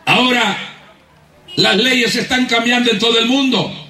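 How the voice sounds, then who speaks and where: A man's voice: very high-pitched, normal speed, bright, forceful tone. A man with a Honduran accent preaching a fervent evangelical sermon, emphatic and often laughing as he calls out for praise and applause. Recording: echoey church hall miked from a distance.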